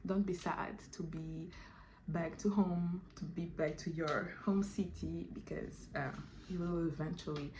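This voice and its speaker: A young adult woman vlogging in English, which is not her first language, talking in a casual, halting way with many restarts and fillers. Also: lowish voice, a little mellow, slight background noise, rough recording. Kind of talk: casual talk to camera.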